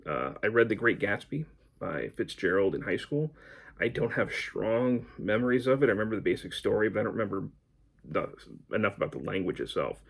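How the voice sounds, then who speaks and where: A middle-aged American man talks casually to his viewers about books. His voice sits on the low side with a husky edge. He rambles along in a conversational, unscripted way. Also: very animated, fairly quick. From United States. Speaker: book vlogger. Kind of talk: casual chat to camera, answering book prompts.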